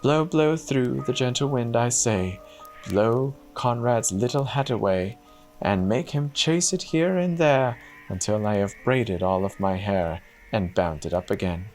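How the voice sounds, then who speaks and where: A man voicing a gentle young princess turned goose girl in a fairy tale, with a chanting delivery. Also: medium pitch, measured pace, faint music behind, pitched above the performer's own voice, close to an everyday voice. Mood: urgent chant.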